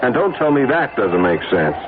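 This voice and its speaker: A man's voice: mid-range voice, big pitch swings, normal speed, close to an everyday voice. An American man playing an uneasy, reluctant married blackmail victim in a vintage radio drama. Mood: uneasy, defensive. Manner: hesitant, defensive. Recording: noisy narrowband old radio recording.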